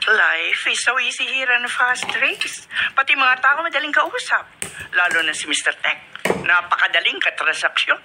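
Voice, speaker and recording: Casting: bossy, money-minded Filipino matriarch for radio drama, brisk and insistent, very animated pitch, noisy recording. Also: female voice. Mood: businesslike, persuasive. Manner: brisk, insistent, code-switching into English. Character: domineering, money-minded older businesswoman mother.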